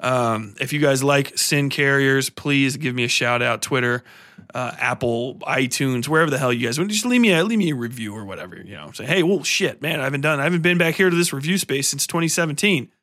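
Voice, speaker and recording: A man with a bright, forceful voice and an average pitch hosts a podcast in a dry, close sound. He talks informally and loosely, rambling through plugs with an irreverent, profane streak. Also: a little fast, dry recording. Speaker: podcast host.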